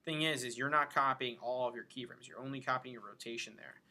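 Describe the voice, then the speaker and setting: A man giving an informal software tutorial, thinking aloud as he demonstrates each step. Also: mid-range voice, fast talker. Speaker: animation instructor. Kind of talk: casual screen-recorded tutorial narration.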